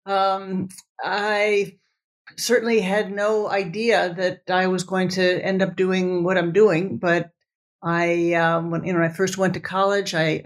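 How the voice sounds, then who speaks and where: A woman whose first language is Italian, a professor speaking as a podcast guest, enthusiastic and halting with many restarts. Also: fairly low pitch. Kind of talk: podcast interview.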